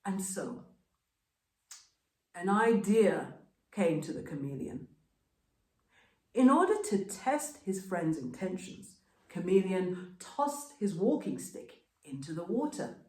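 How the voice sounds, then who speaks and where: A woman storyteller narrating a scripted folktale with dramatic, deliberate, expressive phrasing. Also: on the low side, reverberant.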